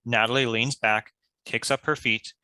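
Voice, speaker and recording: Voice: medium pitch, fairly even intonation, normal speed, sharp tone, smooth, low-quality recording. A narrator reading stage directions from a script in a scripted narration style.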